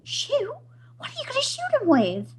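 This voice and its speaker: A woman's voice: very high-pitched, wildly swooping pitch, moderate pace, exaggerated voice. A woman playing a nervous, easily spooked young wife in an old-time radio comedy, anxious and wryly pleading. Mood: frightened.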